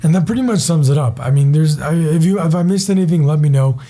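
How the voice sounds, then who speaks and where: Hoarse young man, dark raspy voice. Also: fairly high pitch, animated, fast talker. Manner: rambling, enthusiastic, many fillers. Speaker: gaming channel host. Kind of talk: casual gaming commentary.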